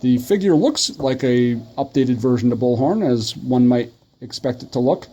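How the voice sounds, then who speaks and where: A man's voice: mid-range voice, lively intonation, normal speed, mellow. A middle-aged man giving a casual, conversational video review, talking in a rambling enthusiast's way. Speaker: toy review host.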